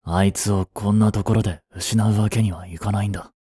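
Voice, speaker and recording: A man's voice: lowish voice, fairly even intonation, brisk, mellow, breathy edge, no room sound, clean studio sound. A man playing a cold, haughty young prince in a romantic audio drama, curt and imperious but secretly protective. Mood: subdued, brooding.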